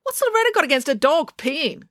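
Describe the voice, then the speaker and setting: A woman plays a bossy, haughty teenage girl who speaks slowly and with emphasis. The voice sits well above the reader's own, and the sound is dry and close, as in a storybook reading. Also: high voice, very animated, fairly bright tone, dry recording, voice pushed up.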